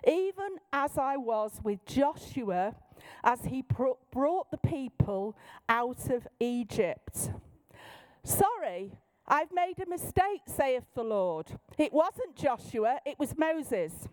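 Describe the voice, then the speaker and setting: A woman voicing a pompous old-fashioned prophet in a solemn, archaic, drawn-out delivery, as part of a talk. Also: high-pitched, sing-song, darkish tone, dry recording, exaggerated voice. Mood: mock solemn.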